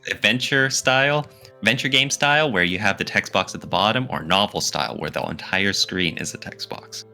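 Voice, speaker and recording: A man's voice: lowish voice, brisk, gravelly, sharp tone, faint music behind. A man co-hosting a podcast in casual discussion, posing questions and giving his opinions. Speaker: podcast co-host.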